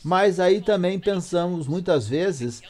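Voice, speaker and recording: A Brazilian man with a fairly high voice works as a live interpreter for an academic lecture. His phrasing is halting, and he corrects himself as he goes. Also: animated, a little mellow. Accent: Brazilian Portuguese.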